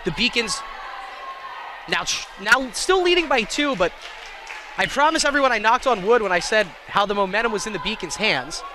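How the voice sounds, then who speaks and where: A man calling live college volleyball play-by-play in an excitable, self-deprecating way. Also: sits high, moderate pace, a crowd in the background. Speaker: play-by-play sports commentator. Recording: clear gym noise, bouncing balls. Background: indoor volleyball match ambience.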